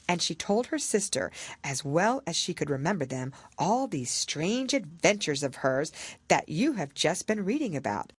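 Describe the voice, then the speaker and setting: A woman narrating a children's classic as an audiobook, in a measured, expressive storytelling manner. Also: on the low side, breathy edge, no echo. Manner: expressive, measured literary reading.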